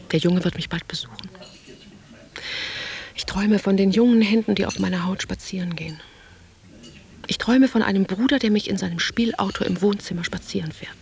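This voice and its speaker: Actress as a hushed, creaky-voiced lonely dreamy woman. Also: mid-range voice, close to an everyday voice. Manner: hushed, introspective, short fragmentary phrases. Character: lonely, dreamy woman longing between two lovers. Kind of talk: literary radio play inner monologue.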